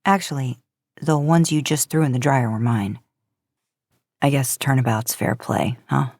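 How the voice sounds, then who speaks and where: A woman voicing an awkward, flustered young male college student in a story, with halting delivery. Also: rumbling low, steady tone, a little mellow, no room sound, clean studio sound, voice pushed down, heavily acted. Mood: sheepish.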